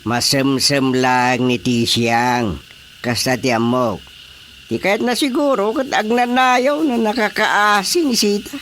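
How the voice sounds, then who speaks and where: A woman's voice: lowish voice, expressive, takes it slowly, a bit bright, a little hiss or hum. A woman with an Ilocano Filipino accent playing an easygoing, amused, placating village chairman in a radio drama comedy. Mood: calm, dismissive.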